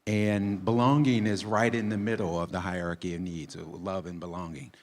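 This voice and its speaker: A man from the United States takes part in a panel discussion. His voice is on the low side, and he talks in a relaxed, casual way, often starting sentences with a filler. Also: moderate pace. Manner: easygoing, starts with ums.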